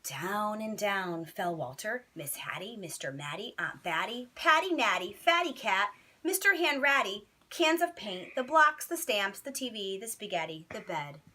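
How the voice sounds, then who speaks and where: A woman reads a bedtime story to children in an expressive teacher's style. Her voice is bright, with lively rises and falls. She phrases dramatically and puts emphasis on key words. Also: medium pitch, measured pace, few pauses.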